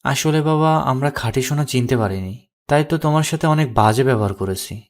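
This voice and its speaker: A man's voice: mid-range voice, fairly quick, close to an everyday voice. A man playing a humbled, apologetic older father-in-law in an audio drama. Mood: remorseful.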